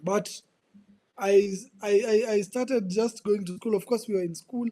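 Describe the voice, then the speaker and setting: A man in his twenties with a Kenyan accent, telling his life story as a podcast guest in an earnest, halting way, often repeating words. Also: high-pitched, fairly even intonation, warm tone. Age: 20s. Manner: earnest, repeats words while searching for them. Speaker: guest, ministry coach. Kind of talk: personal testimony in conversation.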